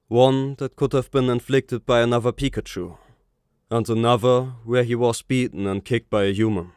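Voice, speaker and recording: An actor playing a calm, learned older male professor in an anime-style dub, explaining things in a measured way. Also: mid-range voice, clear, resonant tone, dry recording, lightly acted. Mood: grave, reassuring.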